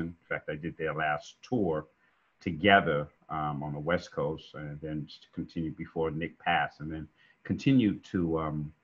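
A middle-aged man, chatting relaxed as a guest on a video call, with many fillers. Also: very deep voice, breathy edge. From New York. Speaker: guest musician. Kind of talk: casual interview over video call. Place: home room indoors. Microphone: video call webcam. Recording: dull lo-fi call audio.

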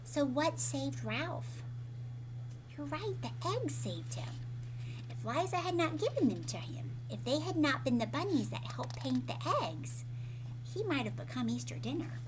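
A woman in her thirties reads a storybook to young children in a fairly high voice. She is expressive, explains as she goes and puts questions to her listeners. There is some background noise in the room. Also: unhurried. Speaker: teacher reading aloud to children. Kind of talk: picture-book read-aloud with questions to young listeners. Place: living room at home, indoors.

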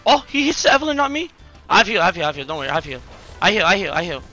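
Young guy gaming live, high pitched, talks super fast, repeats his callouts, excitable. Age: young adult. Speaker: video game streamer. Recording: music behind the voice.

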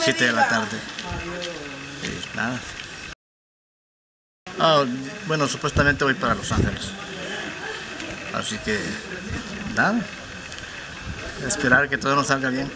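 A man's voice: medium pitch, rough recording. A man waiting for a freight train as a migrant, speaking in a subdued, resigned way.

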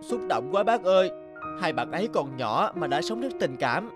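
Eager young cartoon thing, childlike and curious, raised pitch, very animated. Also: male voice, normal speed, music in the background. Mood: moved. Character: eager young talking object, childlike listener.

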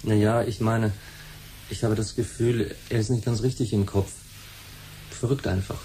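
Man acting grumbling young son, dark mellow tone, blunt, 1970s radio play, faint noise. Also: on the low side, fairly even intonation, normal speed, lightly acted, low-quality recording. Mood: sullen, matter-of-fact. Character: sullen, runaway teenage son who loves music.

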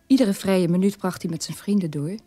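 A woman's voice: on the low side, fairly quick, mellow, dry recording, soft background music, close to an everyday voice. An actress playing a troubled middle-aged mother telling her family's story in a radio play monologue. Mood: reflective, troubled.

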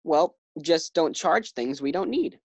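A man's voice: fairly high pitch, lively intonation, measured pace, darkish tone, breathy edge, no echo. A man acting a sensible, exasperated husband in a 1940s-style radio sitcom. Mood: earnest.

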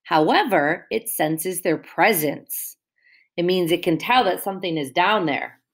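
A woman's voice: fairly low pitch, a little slow. A woman teacher reading a picture book aloud to young children, explaining words and asking them questions in a sing-song way.

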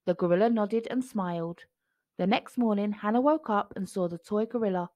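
A woman narrating a children's picture book expressively, phrasing clearly for young listeners. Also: medium pitch, normal speed, a little mellow, no room sound, clean studio sound.

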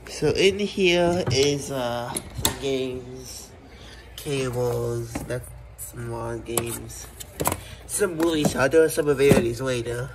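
A man giving a casual, halting tour of a rental house, filming on a handheld phone as he walks indoors. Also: mid-range voice. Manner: rambling, halting, many fillers and restarts. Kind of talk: casual handheld walkthrough narration. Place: indoors in a rental house kitchen and living room. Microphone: phone camera held while walking. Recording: loud handling noise and clicks.

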